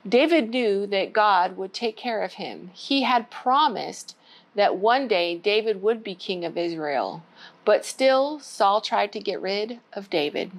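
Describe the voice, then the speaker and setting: A woman's voice: medium pitch, animated, unhurried, low-quality recording. A woman hosting a children's storytime, reading a storybook aloud in simple language aimed at young children. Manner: simple, child-directed address. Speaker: children's storytime host at a church.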